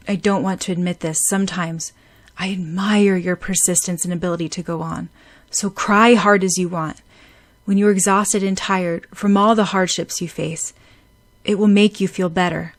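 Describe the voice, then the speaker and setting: A woman voicing a gruff, protective young man who speaks in a low-key way. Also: fairly even intonation, normal speed, lightly acted. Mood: tender.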